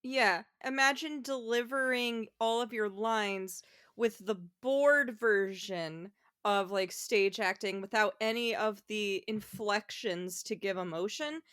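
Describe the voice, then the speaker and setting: A woman co-hosting a podcast, chatting casually in a blunt, animated way. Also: medium pitch, slow pace, clear, resonant tone, dry recording, studio quality. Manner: animated, blunt, swears freely. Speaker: podcast co-host. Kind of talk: casual chat.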